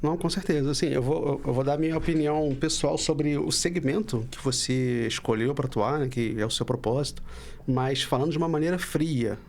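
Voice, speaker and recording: Man, podcast host, slightly high voice, upbeat, chatty, slangy. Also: brisk, vocal fry, darkish tone. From Brazil. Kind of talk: casual podcast interview.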